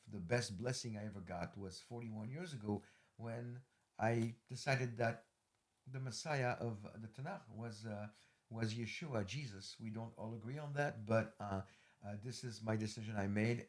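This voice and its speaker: A middle-aged man hosting a ministry podcast, closing an interview with rambling, filler-heavy but earnest appeals. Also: on the low side. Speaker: host, ministry leader.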